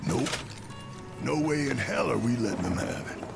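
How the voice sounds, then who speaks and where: An actor playing a gruff, tough male fighter in a video game, gravelly and blunt with colloquial phrasing. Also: mid-range voice, animated, moderate pace. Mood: firm distrust. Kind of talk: video game character acting. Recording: loud music under the voice.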